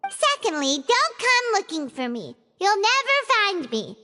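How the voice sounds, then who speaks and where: Game companion: excitable little fairy girl, extremely high and squeaky, lively swoops, eager and curious. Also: a little slow, long reverb tail, heavily acted. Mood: reading dramatically. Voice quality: squeaky, childlike. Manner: exclamatory, eager, speaks of herself by name. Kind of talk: cartoonish game voice acting.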